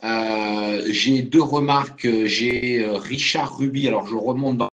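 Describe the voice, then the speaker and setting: A man with an average voice moderates a live webinar over a video call. He hesitates often and fills pauses as he relays remarks from the audience. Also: mid-range voice. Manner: hesitant, many "euh" fillers, reads out questions.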